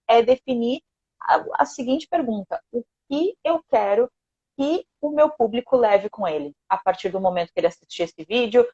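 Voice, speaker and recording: A woman's voice: medium pitch, darkish tone, husky, lo-fi sound. A woman who mentors others in communication, a guest on a live video call, explaining her method step by step and rephrasing her points as she goes. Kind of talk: explanatory conversation.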